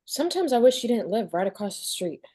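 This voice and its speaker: A woman's voice: fairly high pitch, sing-song, quick pace, mellow, breathy edge. A woman playing a weary, anxious, stubborn teenage girl in a table read of a horror script. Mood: wry, probing.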